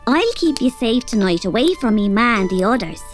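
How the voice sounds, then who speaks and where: A woman's voice: on the high side, sing-song, a little fast, music in the background. An actress with an Irish accent playing a tough, bossy robber girl who also narrates. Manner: bossy, threatening, blunt. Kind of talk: audio drama acting.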